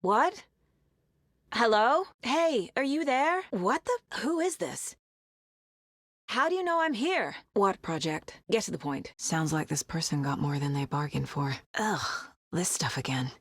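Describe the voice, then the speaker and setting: A woman's voice: mid-range voice, expressive, a little slow, fairly bright tone, husky, no room sound, studio quality. An actress playing a composed, inquisitive woman investigator in a video game, musing to herself in an understated way. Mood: alert, questioning, then curious, musing.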